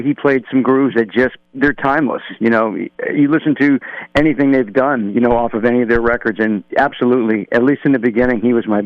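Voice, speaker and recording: A man's voice: mid-range voice, no echo. Rambling man on a dull phone line. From New Hampshire, USA. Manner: rambling, relaxed storytelling with many fillers. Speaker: musician guest, drummer. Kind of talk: phone-in radio interview. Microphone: telephone.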